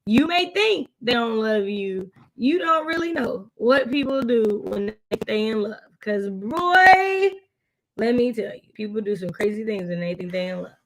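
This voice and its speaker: A woman's voice: fairly high pitch, big pitch swings, unhurried, poor audio. A woman co-hosting a casual book discussion in a wry, playful, colloquial way. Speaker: book club co-host.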